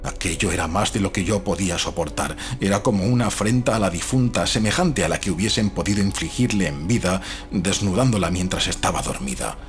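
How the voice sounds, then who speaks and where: Deep-voiced man narrating gothic horror. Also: expressive, a little fast. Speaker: audiobook narrator. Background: faint music.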